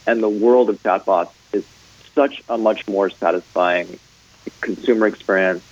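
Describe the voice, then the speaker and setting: A man's voice: on the low side, measured pace, darkish tone, muffled recording. A man speaking as a radio panel guest, explaining things in an agreeable, conversational business tone.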